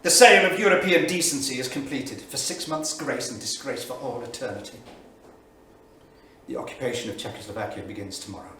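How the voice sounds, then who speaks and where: A man's voice: medium pitch, a little fast, a bit bright, natural voice. Man playing a declamatory 1930s diarist, echoey theatre. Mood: scornful, outraged. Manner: declamatory, emphatic. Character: anguished, indignant 1930s male English diarist. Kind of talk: dramatic staged reading of a journal. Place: small theatre studio. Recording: echoey theatre, distant mic, faint noise.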